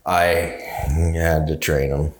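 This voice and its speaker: A man's voice: rumbling low, little pitch movement, takes it slowly, roomy sound, slight background noise. A man from Kansas in his thirties, a podcast guest swapping stories in casual chat and laughing often. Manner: laid-back storytelling, laughs a lot.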